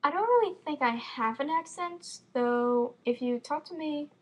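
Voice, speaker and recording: A woman's voice: sits high, lively intonation, rough recording. A teenage girl from Florida with an American accent and a slight lisp, chatting casually and rambling into her computer webcam at home. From Florida, United States. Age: teens. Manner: quick, chatty, rambling with many fillers. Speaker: fan vlogger. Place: home room with bookshelves, indoors. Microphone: built-in computer webcam mic.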